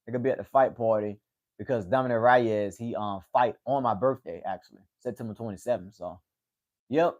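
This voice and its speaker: A man in his late twenties talks loosely to viewers on a livestream. He rambles, repeats his words and tags "man" onto his sentences. His voice sits at an ordinary middle pitch. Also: brisk. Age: 20s. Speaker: livestream host. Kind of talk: casual livestream chat.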